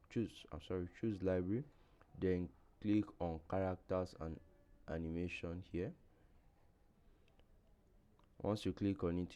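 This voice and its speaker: A man narrating a step-by-step software tutorial, with somewhat halting, hesitant phrasing. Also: low voice, clear, resonant tone. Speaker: tutorial host.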